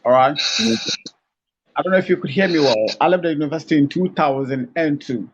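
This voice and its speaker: Man from Africa, higher-pitched voice, halting and hesitant, muffled lo-fi line. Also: a little hiss or hum. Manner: hesitant, many fillers and restarts. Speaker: caller. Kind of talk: halting lecture-like argument. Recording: muffled lo-fi call audio.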